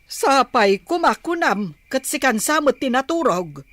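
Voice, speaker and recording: Actress as a skeptical Filipino wife, higher voice. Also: female voice, very animated, unhurried, a bit bright, no echo, heavily acted. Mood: nagging. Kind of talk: Filipino radio drama acting.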